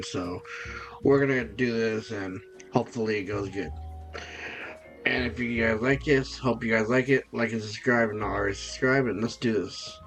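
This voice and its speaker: A man's voice: medium pitch, low-quality recording. A man hosting a casual gaming commentary at home, rambling and correcting himself, his nose stuffed up. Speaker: gaming channel host. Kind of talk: casual let's-play commentary. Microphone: desktop recording setup.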